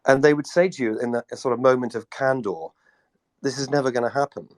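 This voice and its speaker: A man with a cold and a gravelly voice, explaining energy markets in a casual online discussion and often repeating words as he goes. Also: mid-range voice, fairly quick. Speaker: energy analyst guest. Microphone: remote audio call.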